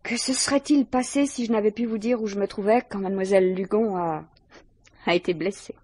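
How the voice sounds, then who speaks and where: A woman acts a prickly student suspect in an old French radio mystery. She is haughty, precise and quick to take offence, with a husky voice in a close, dry recording. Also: medium pitch, a little mellow, no echo, natural voice. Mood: bitter, mocking. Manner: defensive, haughty, formally precise. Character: female student lodger, defensive, prickly, indignant suspect.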